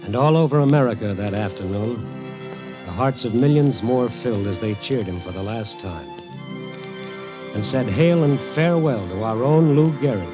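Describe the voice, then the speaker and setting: A man narrating a 1940s radio drama in a dramatic, measured way. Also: mid-range voice, lively intonation. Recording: old radio broadcast with music.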